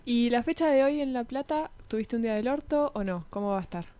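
Female radio interviewer, Rioplatense accent, fast and informal, dry studio sound. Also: fairly high pitch, lively intonation, warm tone, clear, resonant tone, dry recording. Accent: Rioplatense Argentine Spanish. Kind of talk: casual chat interview.

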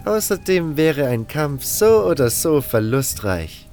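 An actor playing a cold, condescending baron who gives orders in a fantasy audio drama, with music underneath. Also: male voice, fairly high pitch, sing-song, slow, darkish tone, exaggerated voice. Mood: cool, calculating. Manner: condescending, scornful. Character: cold, haughty male baron giving orders.

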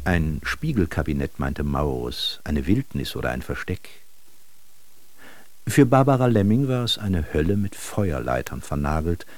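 A world-weary travelling salesman telling his story in a literary radio drama. The man's voice is very low and mellow, recorded dry and close, with a wry, reflective delivery. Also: very animated, a little slow, dry recording, heavily acted. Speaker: narrator and lead actor.

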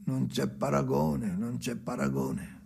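A man's voice: medium pitch, sing-song, a little slow, vocal fry, very noisy, exaggerated voice. An actor playing a brooding, tormented man in a theatrical radio drama, with erratic, broken, repetitive delivery and sudden outbursts. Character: Henry, brooding tormented man alone by the sea.

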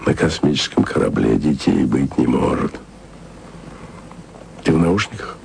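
An actor playing a wistful radio archivist who speaks softly and intimately to his beloved in a radio play. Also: big pitch swings, measured pace, a little hiss or hum, heavily acted. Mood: tender. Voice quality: low, gravelly voice. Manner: soft, intimate, sometimes whispered. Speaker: radio man speaking to his distant lover.

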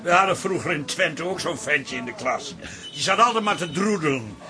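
A man's voice: on the high side, animated, moderate pace, natural voice. An actor playing a dismissive, teasing countryman in a radio comedy-drama, talking bluntly. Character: dismissive, teasing man from rural Twente.

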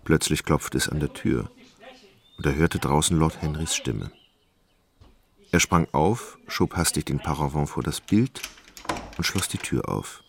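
A male narrator with an extremely deep, gravelly voice reads scripted literary prose for a radio drama. There is slight noise in the recording. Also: a little slow, long pauses.

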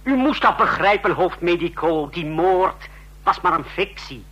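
A man's voice: sits high, animated, a little slow, bright, forceful tone, breathy edge, dull audio, full character voice. A man acting in a Dutch radio play as an impatient, condescending tactician who lectures emphatically.

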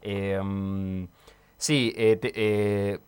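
Chilean male radio interviewer, low and smooth, hesitant, lots of fillers. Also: little pitch movement, very slow, a little mellow. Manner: hesitant, many filler sounds. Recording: community radio studio.

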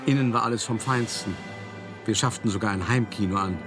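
An actor playing an urbane, self-satisfied art dealer, telling his story in a measured, articulate radio play monologue. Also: on the low side, over music, natural voice. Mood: reminiscing, wry.

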